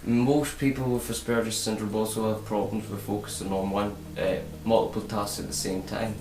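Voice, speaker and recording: Halting teen boy vlogging in an echoey room. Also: on the low side, flat delivery. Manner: halting, repeats words, many fillers. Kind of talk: casual talking-to-camera vlog. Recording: roomy echo with electronic music behind.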